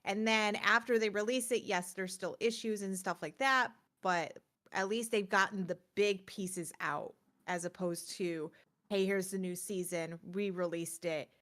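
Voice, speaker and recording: A woman talks casually as the host of a gaming show. Her voice sits at a middle pitch. She often acts out what others would say in an animated way and wanders into asides. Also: unhurried. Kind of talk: casual chat about video games.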